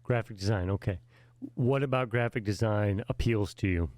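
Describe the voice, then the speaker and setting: A man's voice: lowish voice, moderate pace, darkish tone, no room sound. Man asking encouraging interview questions. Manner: encouraging, asks questions with restarts.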